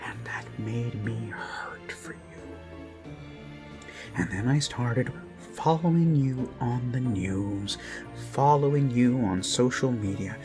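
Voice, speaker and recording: A man voicing an obsessive, menacing yandere kidnapper in an intimate ASMR roleplay, speaking in halting, creepy murmurs that break into sudden emphasis. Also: mid-range voice, lightly acted. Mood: tender, wistful. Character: obsessive male yandere kidnapper, gushing, menacing, self-abasing zealot. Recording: background music under close voice. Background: music.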